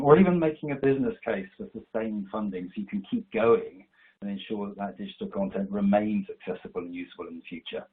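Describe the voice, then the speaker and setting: Brief: deep-voiced man presenting slides, husky and congested from a cold, steady and explanatory, heard over a tinny call line. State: stuffy from a cold. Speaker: webinar presenter. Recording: tinny online call audio.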